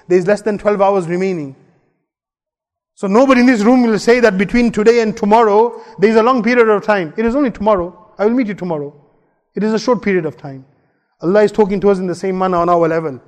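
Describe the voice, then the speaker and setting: Fast, high-voiced man preaching in a mosque hall. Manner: earnest preaching, repeats key phrases, quotes Arabic verses. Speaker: imam giving a Friday talk. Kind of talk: sermon. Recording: long hall reverb.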